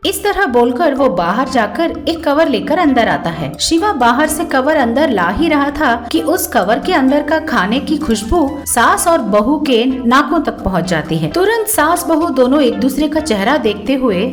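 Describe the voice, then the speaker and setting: A woman's voice: high-pitched, sing-song, measured pace, few pauses, soft background music. A woman reading a scripted story as its narrator.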